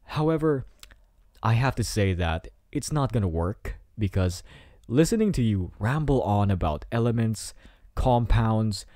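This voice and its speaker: A man's voice: on the low side, expressive, unhurried, warm tone, dry recording, clean studio sound. A man playing a cocky, teasing teenage delinquent student in an ASMR roleplay, with a smug, taunting delivery. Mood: dismissive, sarcastic.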